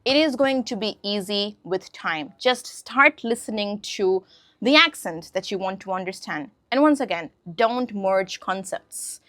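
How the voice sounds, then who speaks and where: A woman whose first language is Hindi talks through an English lesson in an easy, conversational way, often stopping to check that her listeners follow. Her voice sits at a middle pitch and is recorded close and dry, with no room sound. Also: fairly bright tone, dry recording. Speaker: English teacher. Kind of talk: casual spoken lesson to camera.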